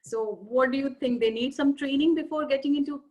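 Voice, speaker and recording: A woman's voice: high-pitched, fairly quick, a little mellow, dull audio. A woman hosting an interview over a video call, affirming often and summing up her guest's points. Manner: frequent short affirmations, sums up guest's points. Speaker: interview host. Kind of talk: friendly interview questions.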